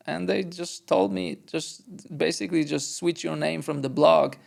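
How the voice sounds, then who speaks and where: A middle-aged man with a moderately high but heavily gravelly, creaky voice tells stories on a podcast. He is chatty and often acts out what others told him. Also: steady tone, normal speed, a little mellow. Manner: chatty storyteller, quotes others. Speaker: basketball journalist, podcast co-host. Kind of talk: casual conversation.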